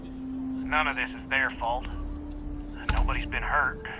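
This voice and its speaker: An actor playing a cornered, remorseful outlaw in a video game, speaking in a pleading, resigned manner. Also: male voice, mid-range voice, steady tone, slow, music in the background, natural voice. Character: cornered, remorseful hostage-taker giving up.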